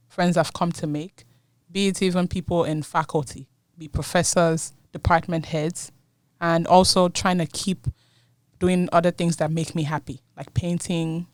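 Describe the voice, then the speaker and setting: A woman's voice: deep voice, little pitch movement, measured pace, dry recording, studio quality. A young woman in her twenties from Nigeria, speaking Yoruba natively, talking as a guest in a casual interview and laughing often between phrases. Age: 20s. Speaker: guest, university student.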